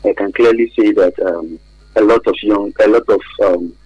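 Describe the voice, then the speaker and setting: A man's voice: fairly low pitch, steady tone, moderate pace, mellow, breathy edge, highs cut off. A man with a Nigerian accent giving hesitant, explanatory answers as an expert guest in a radio interview. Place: radio studio.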